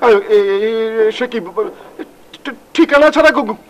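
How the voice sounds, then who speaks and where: A man's voice: very high voice, takes it slowly, fairly bright tone, full character voice. An actor playing a flustered, doting middle-aged father in a comic TV drama, stammering and repeating himself, with a Bangladeshi accent. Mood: panicked, soothing. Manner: stammering, repeating words in agitation.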